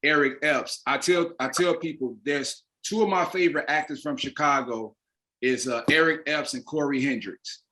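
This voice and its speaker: A man's voice: on the high side, moderate pace, sharp tone, poor audio. A man talking casually and emphatically as an interview guest, an actor with informal, slangy speech. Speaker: stage play creator and actor, interview guest.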